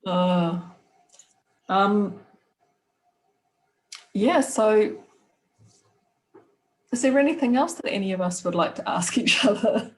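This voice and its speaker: A woman hosting a relaxed video-call conversation. She is friendly and readily affirms and laughs along with the others. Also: medium pitch, takes it slowly, pauses often, low-quality recording. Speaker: host. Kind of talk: casual video-call chat.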